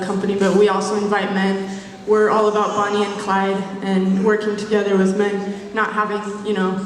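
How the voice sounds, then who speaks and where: Woman panelist, flat delivery, distant echoey hall sound. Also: medium pitch. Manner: earnest, halting, with many fillers. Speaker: dancer and community organizer. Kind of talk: panel discussion introduction and answer. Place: large event hall.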